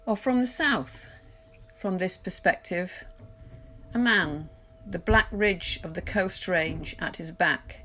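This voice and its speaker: A woman reading poetry aloud in a measured, deliberate literary recitation, pausing between phrases. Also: on the low side, a bit bright. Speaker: poetry reader. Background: music.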